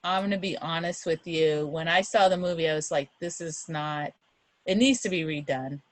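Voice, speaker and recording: A woman's voice: deep voice, moderate pace, no echo. A middle-aged woman talking as a guest reader to children and families, explaining things in an earnest, encouraging and emphatic way.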